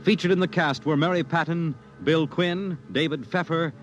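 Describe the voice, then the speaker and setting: A man closing a 1950s radio show with a crisp, formal announcer's read. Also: fairly high pitch, fairly even intonation, normal speed, faint music behind.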